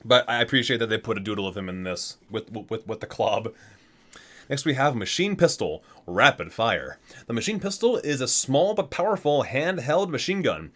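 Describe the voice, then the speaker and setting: A man with a mid-pitched voice reads aloud in an ASMR style. His intonation is very lively, moving across a wide range. He gives titles a theatrical emphasis and adds casual, muttered comments as a host would. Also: moderate pace. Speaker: video host reading a game guidebook.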